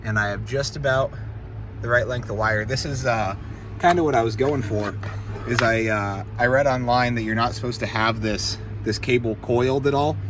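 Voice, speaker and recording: A man giving an informal how-to walkthrough as a vlogger, thinking aloud in a conversational way. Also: mid-range voice. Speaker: off-road vehicle vlogger. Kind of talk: casual how-to walkthrough of a vehicle install. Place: in and around a parked truck outdoors. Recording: wind and outdoor vehicle noise. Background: wind, outdoor and vehicle noise.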